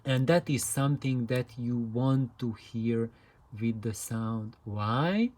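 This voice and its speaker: Male presenter for hobbyist explainer: mellow tone, unhurried pace, deliberate and halting unscripted delivery. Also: medium pitch. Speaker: presenter of hobbyist audio videos.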